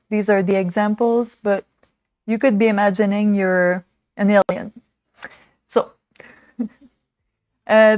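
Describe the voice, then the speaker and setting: A woman in her thirties hosting a casual makeover livestream, rambling and excitable, with lots of "like" and "um". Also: medium pitch, no room sound. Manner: rambling, excitable, many fillers like "like" and "um". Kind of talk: casual chatty livestream talk. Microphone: small desk mic.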